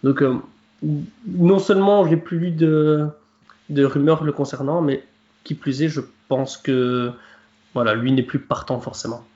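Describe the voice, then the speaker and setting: A man's voice: fairly high pitch, fairly even intonation, unhurried, a little mellow. A man on a football podcast panel, talking with many hesitations. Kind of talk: podcast discussion. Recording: echoey room, dull audio.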